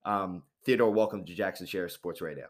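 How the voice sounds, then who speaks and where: A man's voice: sits low, a little fast. A man hosting a sports radio interview, enthusiastic and effusive, often repeating his praise and chatting casually.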